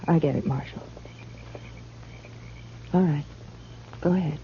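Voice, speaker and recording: A woman's voice: low-pitched, slow pace. An actress playing a bitter, troubled young American frontier woman in a 1950s western radio drama, with a strained, emotional delivery. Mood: bitter, defiant. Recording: muffled old radio recording, faint noise.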